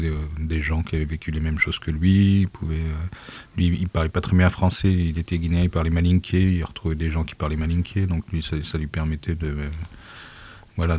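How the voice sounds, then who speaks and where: A man who volunteers with a migrant aid group, speaking softly and haltingly in a casual radio interview, with many fillers. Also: low voice, breathy edge. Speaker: association volunteer.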